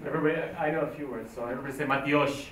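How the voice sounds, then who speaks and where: A man's voice: mid-range voice, fairly even intonation, racing, a crowd in the background. A man leading a program info night, explaining plans in an upbeat, informal way. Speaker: program leader. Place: school meeting room. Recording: echoey room, distant mic, faint noise.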